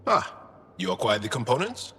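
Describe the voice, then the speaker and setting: A man playing a gentle, earnest alien record keeper in a video game, speaking thoughtfully and with some hesitation. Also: mid-range voice, wildly swooping pitch, normal speed, faint music behind. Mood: wistful. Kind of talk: naturalistic video game acting.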